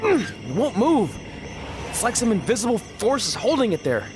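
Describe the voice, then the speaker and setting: An actor playing a sarcastic, reluctant wrestler hero in a video game, talking casually with uneasy wisecracks. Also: male voice, very high voice, very animated, normal speed, noisy background. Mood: wry, worried.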